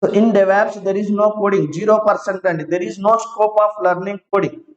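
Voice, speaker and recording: A man teaching an online class, talking slowly, repeating each phrase and checking that students follow. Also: high-pitched, fairly even intonation, crowd noise. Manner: slow, repeats each phrase several times; asks students to confirm they follow. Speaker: IT trainer. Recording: roomy, dull lo-fi online class audio.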